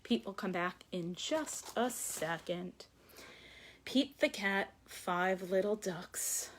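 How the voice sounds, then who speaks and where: Slow-paced woman hosting children's storytime. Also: mid-range voice, expressive, a little hiss or hum. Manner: addresses young children, invites counting and joining in. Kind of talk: children's read-aloud and sing-along.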